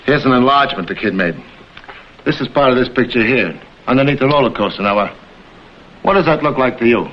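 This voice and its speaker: Man acting fast-talking, brusque park owner. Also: medium pitch, expressive, lightly acted. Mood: matter-of-fact. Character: brisk, worried amusement park owner, family businessman. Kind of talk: vintage screen drama acting. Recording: dull, noisy vintage TV soundtrack.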